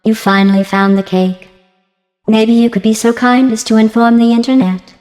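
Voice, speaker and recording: A woman voicing a passive-aggressive female artificial intelligence in a video game, deadpan and mock-sweet, with synthetic robotic processing. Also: fairly high pitch, moderate pace, in a large hall, soft background music. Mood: mock-sweet menace. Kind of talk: scripted video game dialogue.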